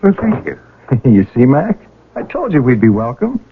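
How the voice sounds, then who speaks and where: Cocky, swooping young rascal. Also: male voice, mid-range voice, huge pitch swings, normal speed, slight background noise. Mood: breezy, cocky. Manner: breezy, boastful. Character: cocky, reckless young card-sharp younger brother. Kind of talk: radio drama acting. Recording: tinny old radio broadcast.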